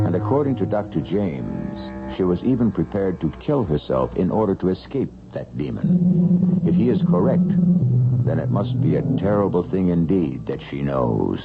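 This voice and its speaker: Grave old-time radio mystery host, gravelly, swoopy delivery, music behind him. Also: male voice, low-pitched, heavily acted. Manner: measured, portentous. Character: grave, knowing mystery storyteller. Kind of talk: 1970s radio drama narration. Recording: muffled vintage radio recording, music behind.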